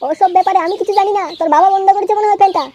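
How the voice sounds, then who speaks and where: A woman voicing a nagging, protective middle-aged village wife and mother in a comic cartoon, sharp-tongued and defensive. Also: very high voice, steady tone, racing, highs cut off, heavy background noise.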